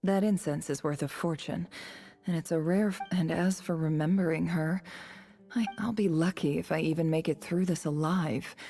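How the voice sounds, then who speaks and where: A cunning, low-voiced young envoy, bright tone. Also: female voice, steady tone, moderate pace, faint music behind. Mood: dismissive, secretive. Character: cunning young female envoy, polished and secretly scornful. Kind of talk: dramatic video game voice acting.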